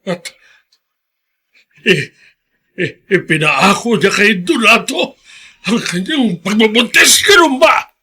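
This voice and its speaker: An actor playing an agitated, disbelieving old father with a strained raspy voice and Filipino accent in a radio drama. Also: sits high, animated, slow, pauses often, sharp tone, full character voice. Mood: shaken, grieving.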